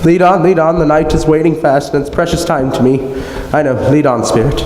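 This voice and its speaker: A man playing a fearful, repentant old miser in a live radio play, with halting, dramatic pleading. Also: on the high side, a little mellow, slight background noise, close to an everyday voice. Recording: echoey hall with long reverb.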